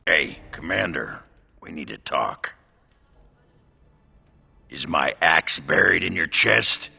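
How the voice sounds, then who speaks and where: A gruff male dwarf warrior for a fantasy game. The voice is extremely deep and thick with gravel, slow and crude, swinging widely as he rambles bluntly. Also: animated, sharp tone, exaggerated voice. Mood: gruff, uneasy. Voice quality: gravelly, growling. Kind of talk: video game voice acting.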